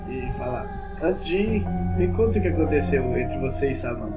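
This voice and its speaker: A man's voice: medium pitch, expressive, moderate pace. A Brazilian man, a tabletop role-play participant, casually describing and speaking his character's moves. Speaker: tabletop RPG player. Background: music bed.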